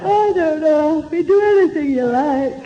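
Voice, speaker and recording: A woman's voice: high voice, animated, moderate pace, a faint crowd. A woman playing a long-suffering, middle-aged housewife mother, weary and dryly sarcastic, in old radio sitcom style. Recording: muffled, noisy old radio recording.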